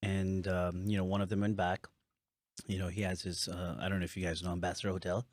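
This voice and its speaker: A young man hosting a podcast, relaxed and rambling, laughing easily as he talks. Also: low voice, quick pace, no echo, studio quality. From born in Africa, partly raised in Nepal. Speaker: podcast host. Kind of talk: casual chat.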